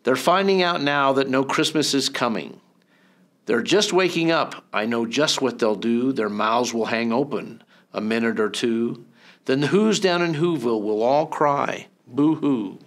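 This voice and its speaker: A man reading a storybook aloud, voicing a sly, thieving, holiday-hating creature with a slick, deliberate delivery. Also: medium pitch, lively intonation, fairly bright tone. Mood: gloating.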